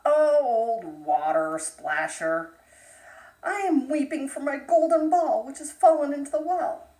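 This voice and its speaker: A woman's voice: high-pitched, expressive, a little slow, mellow. A woman voicing a spoiled, tearful young fairytale princess with earnest, dramatic delivery. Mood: upset, eager. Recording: roomy echo, distant microphone.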